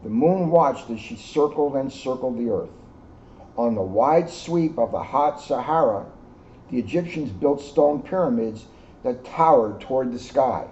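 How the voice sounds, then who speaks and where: Man reading a storybook, soft mellow tone. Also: medium pitch, lively intonation, unhurried. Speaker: police officer reading a storybook aloud. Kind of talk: children's storybook read-aloud with casual asides. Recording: dull lo-fi sound, faint background noise.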